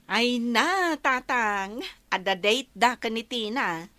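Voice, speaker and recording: Bright, high-voiced bossy village woman. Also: expressive, slow pace, no echo, full character voice. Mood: teasing, nosy. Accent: Filipino, Ilocano. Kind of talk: Ilocano radio comedy-drama acting.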